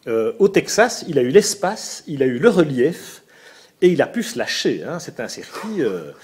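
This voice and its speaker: A man's voice: a little high, very animated, moderate pace, slightly rough. A man with a Belgian French accent hosting a casual motorsport chat, talking in bursts with many hesitations and restarts. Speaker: host.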